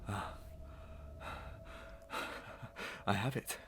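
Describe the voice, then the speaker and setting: A man's voice: fairly quick, a bit bright, a little hiss or hum, lightly acted. A man playing a proud, bitter condemned murderer in a Victorian melodrama, brooding and theatrical in archaic diction. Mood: scornful, bitter.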